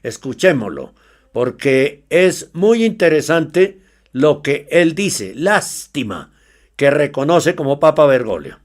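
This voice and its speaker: Male radio news host, measured and deliberate, slow pace, fairly high voice. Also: big pitch swings. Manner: measured, with tag questions. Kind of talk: news commentary.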